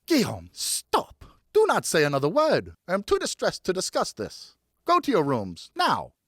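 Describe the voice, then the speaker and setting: A man's voice: high voice, huge pitch swings, normal speed, a bit bright, no echo, studio quality, pitched above the performer's own voice, full character voice. A man voicing a stern, outraged woman in an audiobook, scolding with emphatic, indignant commands. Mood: stern, distressed. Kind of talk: audiobook character voice.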